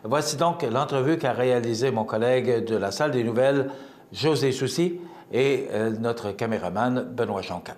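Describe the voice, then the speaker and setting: A man with a Quebec French accent hosts a local morning show in a roomy, echoey studio. He rambles along with fillers and restarts. His pitch sits at a middling level. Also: normal speed, darkish tone. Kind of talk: casual on-air news chat.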